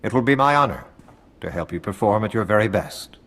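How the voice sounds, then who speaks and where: A man's voice: lowish voice, expressive, a little fast, a bit bright. A man playing a perfectionist military medic in a video game, speaking in a haughty, precise, formal manner. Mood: self-satisfied. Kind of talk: video game cutscene acting.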